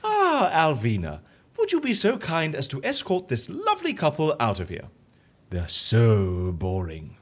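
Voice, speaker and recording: An actor playing a flamboyant, easily bored, petulant interviewer in an audio comedy-drama, theatrical and fussily mock-polite. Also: male voice, mid-range voice, wildly swooping pitch, unhurried, slight background noise. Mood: petulant, coaxing. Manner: theatrical, fussy, mock-polite, stammering for show.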